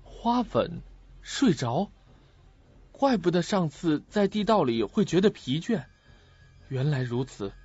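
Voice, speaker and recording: An actor in an audio drama playing an earnest, puzzled young student who questions and muses to himself. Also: male voice, fairly high pitch, very animated, takes it slowly, pauses often, darkish tone, breathy edge, no echo, faint music behind, heavily acted. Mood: suspicious musing. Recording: muffled lo-fi audio.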